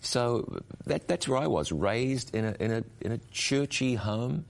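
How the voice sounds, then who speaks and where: A man's voice: mid-range voice, animated, a little slow, gravelly. A middle-aged man, a guest telling his story in an earnest, reflective way. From New Zealand. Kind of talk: interview conversation.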